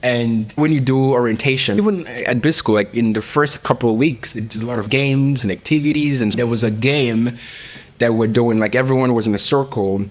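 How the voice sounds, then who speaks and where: A woman from Nigeria with a Nigerian accent, hosting an interview podcast, lively and quick to laugh. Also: extremely low pitch. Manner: animated, laughs easily. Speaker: podcast host. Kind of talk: casual interview.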